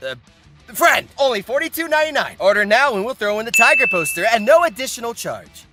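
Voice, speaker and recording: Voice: mid-range voice, bright, forceful tone. A host giving theatrical, comedic scripted narration about anime, with exaggerated mock outrage and playful asides. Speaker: host of anime video essay.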